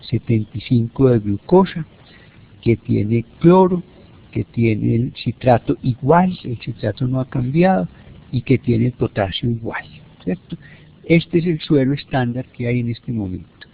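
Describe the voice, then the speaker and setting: A man with a Colombian accent giving a medical lecture by teleconference, explaining step by step in a didactic, halting way. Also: medium pitch, lively intonation, vocal fry, slightly rough. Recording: narrow, phone-like teleconference audio.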